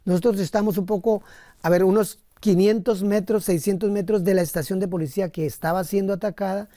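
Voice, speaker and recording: Mellow-voiced Colombian man telling his experiences. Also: high-pitched, steady tone, brisk, dry recording, clean studio sound. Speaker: missionary priest, interview guest. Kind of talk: conversational personal storytelling in an interview.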